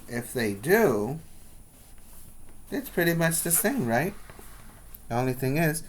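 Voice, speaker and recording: Man, preschool teacher, children's read-aloud, slow delivery, medium pitch, instructional, question-asking.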